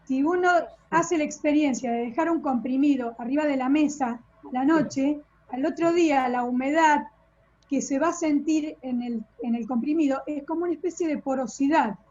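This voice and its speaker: A woman's voice: sits high, normal speed, darkish tone. A woman with an Argentine accent patiently explaining medication details in a video call. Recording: dull lo-fi video call audio.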